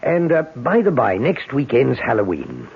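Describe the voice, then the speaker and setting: A man's voice: mid-range voice, wildly swooping pitch, moderate pace, vocal fry, husky, full character voice. A man playing a wry, understated police inspector in a 1970s radio drama. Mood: wry, musing. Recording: tinny 1970s radio broadcast.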